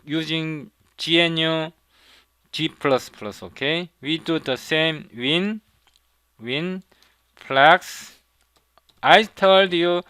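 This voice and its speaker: A man with a Korean accent, a non-native English speaker, teaching a coding tutorial in halting speech, repeating words and checking in with "okay?". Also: on the high side, pushed and bright, clear, resonant tone, no echo. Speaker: programming instructor. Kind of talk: screen-recorded coding tutorial.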